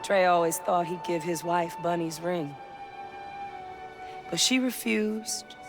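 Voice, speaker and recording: A woman's voice: lowish voice, slow. A woman playing a proud, tender middle-aged Southern mother in a reflective video game voice-over. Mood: reminiscing. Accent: American South. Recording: music under the voice. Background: game music.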